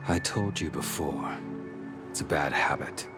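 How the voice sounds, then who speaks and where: An actor playing a grim, weary, brooding assassin in a video game, terse and deadpan. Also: male voice, a bass voice, full character voice. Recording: game music under the voice.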